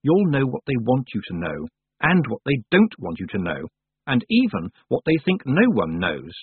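A man voicing a calm, firm and sensible young girl witch, speaking reproachfully. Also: mid-range voice, normal speed, breathy edge, no room sound, voice pushed up, full character voice. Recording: dry, tinny phone-like sound.

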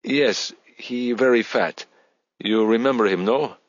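A man's voice: medium pitch, slow, no echo, lo-fi sound, pitched above the performer's own voice, natural voice. A man voicing an eager, awkward German tourist who speaks broken English in short blunt phrases with a German accent. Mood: insistent. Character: Becker posing as bumbling German male tourist, eager and awkward.

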